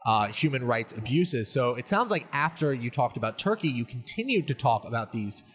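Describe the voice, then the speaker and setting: A man hosts an interview, asking questions in a polite, measured way. His voice carries a long, reverberant tail. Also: mid-range voice, big pitch swings, a bit bright, breathy edge. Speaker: interview host.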